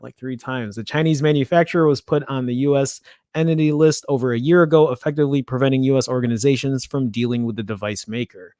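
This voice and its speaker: A man with a Chicago accent hosts a loose tech news show. He reads the article aloud, then drifts into offhand asides and trips over words now and then. His voice sits at an ordinary middle pitch, recorded close and dry with no room sound. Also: darkish tone, no room sound. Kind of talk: casual tech news commentary.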